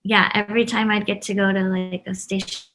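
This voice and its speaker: A woman's voice: medium pitch, little pitch movement, fast talker, fairly bright tone, low-quality recording. A woman who grew up in Brazil, the US and Japan, talking in a chatty, informal way as an interview guest. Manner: chatty, informal, laughs easily. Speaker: interview guest, stationery shop co-owner. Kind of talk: casual conversational interview.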